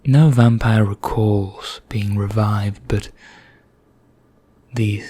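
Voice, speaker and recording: A man playing a vain, condescending vampire in an ASMR roleplay, speaking formally with measured pauses in a husky, breathy voice that nears a whisper. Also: fairly low pitch, steady tone, warm tone, heavily acted. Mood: ominous, explanatory. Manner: slow, measured, formal, pompous, with deliberate pauses. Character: vain, condescending male vampire elder, calmly sinister.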